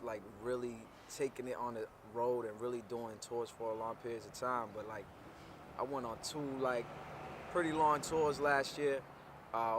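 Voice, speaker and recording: Laid-back rapper talking outdoors. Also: male voice, mid-range voice, bright, forceful tone. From Comoros Islands, raised in the Maryland/DC area. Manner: laid-back, conversational, lots of "like" and "you know". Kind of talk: casual talking-head interview. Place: outdoors by a graffiti wall in a city. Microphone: camera framed at chest height. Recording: faint city noise outdoors.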